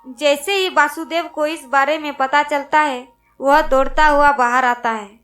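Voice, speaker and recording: High-pitched woman narrating a moral story. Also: fairly even intonation, unhurried, fairly bright tone, faint music behind.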